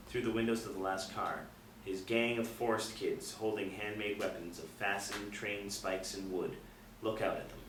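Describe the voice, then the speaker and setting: Lowish male script narrator, echoey room. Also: fairly bright tone. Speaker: narrator reading stage directions. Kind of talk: scripted narration at a table read. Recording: echoey room, distant, faint noise.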